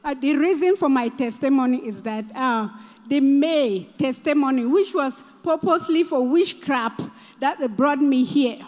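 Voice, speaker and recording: A woman from Cameroon with a high voice tells her testimony earnestly, pausing with small fillers as she goes. Her voice rings out with a long hall echo behind it. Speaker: church member giving testimony. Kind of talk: personal testimony.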